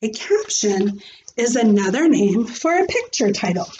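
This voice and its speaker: A woman's voice: a little high, very animated, warm tone, highs cut off, slight background noise. A woman voicing a kindly male schoolteacher in a storybook, patient, upbeat and explanatory. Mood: explaining. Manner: explanatory, measured. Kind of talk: expressive storybook read-aloud.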